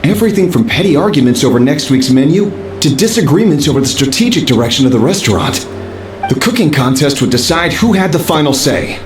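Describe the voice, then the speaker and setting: An actor playing an earnest, excitable male hotel chef in a video game. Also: on the high side, brisk, over music. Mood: eager explaining. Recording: echoey room, faint noise.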